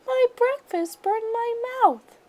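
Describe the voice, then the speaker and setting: Squeaky baby bear girl for a storybook, super high n loud, whiny wailing. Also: takes it slowly. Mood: pained whining.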